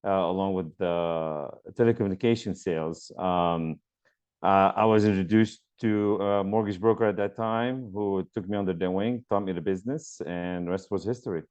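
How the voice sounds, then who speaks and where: A man's voice: deep voice, fairly even intonation, moderate pace, darkish tone, smooth. A man from Lebanon, a mortgage broker guest, answering interview questions earnestly with many ums and uhs over a remote call. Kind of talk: conversational interview.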